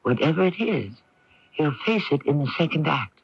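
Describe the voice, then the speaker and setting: A man's voice: on the high side, big pitch swings, moderate pace, a little hiss or hum. A man hosting and narrating a radio drama in a theatrical, portentous, scripted style. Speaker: host and narrator. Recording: muffled AM radio broadcast tape.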